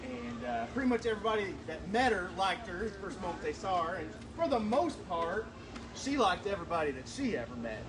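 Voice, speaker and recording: A high-pitched man plays host and storyteller at a live show. He talks in a folksy, down-home manner with colloquial country grammar. The microphone is some distance away, and there is clear background noise. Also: big pitch swings, fairly quick. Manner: folksy, colloquial rural grammar and drawl phrasing. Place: live show at an art guild event. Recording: distant mic, noisy live event ambience.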